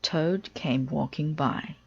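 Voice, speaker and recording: A woman's voice: low voice, slow pace, vocal fry, darkish tone, breathy edge, dull audio. A woman narrating a children's storybook aloud in a careful, simple storytelling style. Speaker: storybook reader.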